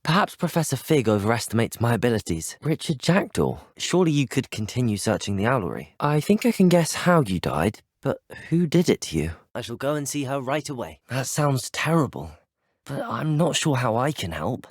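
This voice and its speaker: A plucky teenage student wizard in a video game, with a British accent and a slightly husky, expressive voice. He is polite, earnest and well-spoken, with a middling pitch and a close, dry sound. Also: moderate pace, no echo. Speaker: video game player character voice. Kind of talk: scripted game voice lines.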